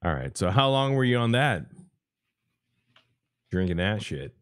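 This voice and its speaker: A man with a lowish voice that swings widely in pitch. He chats casually in loose, slangy, exclamatory phrases and swears freely. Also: big pitch swings, unhurried. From Los Angeles, USA. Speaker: interviewer, podcast host. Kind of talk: casual chat. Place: remote online call.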